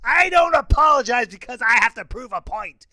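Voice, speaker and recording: A man hosting a podcast, ranting loudly and bursting into sudden yells. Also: very high voice, animated, normal speed, no echo. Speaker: podcast host. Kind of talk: opinion rant.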